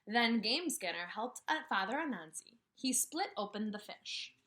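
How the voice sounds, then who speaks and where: A woman in her thirties giving a kindergarten read-aloud lesson, speaking with emphasis and pausing after questions as if for children to answer. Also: fairly high pitch. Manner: animated, asks questions and pauses for answers, slow emphatic phrasing. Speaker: kindergarten teacher. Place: small indoor room with brick wall.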